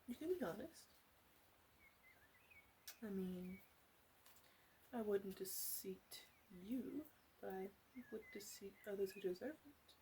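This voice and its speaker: Woman playing a flirty, soft-spoken witch, noisy recording. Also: mid-range voice, expressive. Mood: sly, teasing. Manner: teasing, halting, conversational asides. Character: flirty, sly female witch running a potion shop. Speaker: ASMR roleplay performer. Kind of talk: ASMR roleplay, soft-spoken with whispers.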